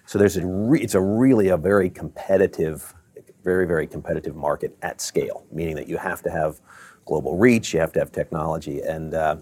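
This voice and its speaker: A middle-aged man from Baltimore, a tech company chief, answering interview questions in a measured way with many fillers and restarts. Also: deep voice, darkish tone, no echo. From Baltimore, Maryland. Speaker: tech company CEO guest.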